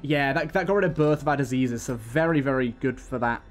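A man's voice: medium pitch, a little fast, faint music behind. A man hosting a casual let's play, talking to the game's characters and repeating his phrases as he goes. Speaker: gaming host.

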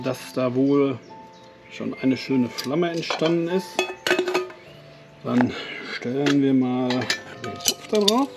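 A man from Westphalia with a Westphalian German accent, casually explaining an outdoor cooking demonstration with fillers and small self-corrections. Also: mid-range voice. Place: outdoors at a camp stove. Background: music and cooking sizzle.

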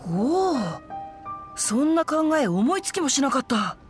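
High, lively refined boy. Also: unhurried, over music. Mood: teasing. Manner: polite, composed, literary phrasing. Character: refined, chivalrous teenage boy swordsman, calm and teasing. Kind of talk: Japanese anime-style game dub acting.

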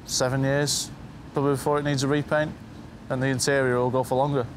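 A man who works on railway carriages, talking casually and warmly in an interview and laughing easily. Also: medium pitch, flat delivery, fast, slight background noise. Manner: chatty, warm-hearted, laughs easily. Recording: interview with background music.

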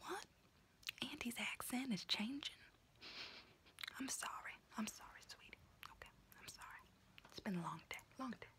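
A woman's voice: a little high, animated, normal speed, pauses often, a bit bright, pitched above the performer's own voice. A woman voicing an unhinged, conspiracy-minded auntie doing someone's nails, whispering throughout in an ASMR roleplay and rambling with pet names.

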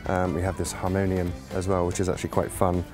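Low-voiced man guiding a theatre tour. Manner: calm, explanatory, unhurried. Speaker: musical director. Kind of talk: guided tour to camera. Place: theatre stage set, indoors. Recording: music playing underneath.

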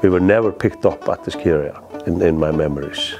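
A middle-aged man from Iceland's East Fjords with an Icelandic accent, reminiscing in halting, plain, non-native English in a documentary interview. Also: deep voice. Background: guitar music.

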